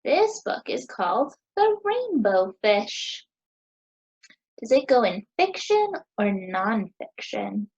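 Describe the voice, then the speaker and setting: High, sing-song American woman teaching children. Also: very animated, a little mellow, slightly rough, lo-fi sound. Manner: sing-song, encouraging teacher talk with deliberate pauses. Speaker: teacher hosting a children's story time. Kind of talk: children's lesson with questions to young listeners.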